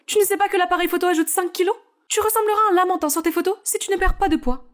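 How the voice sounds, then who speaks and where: She is a cold, commanding mother and manager, as a woman puts her on in an animated storytime, with a very high, piercing voice. Each line comes out curt and bossy, and she brushes off any objection. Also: rapid-fire. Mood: scolding.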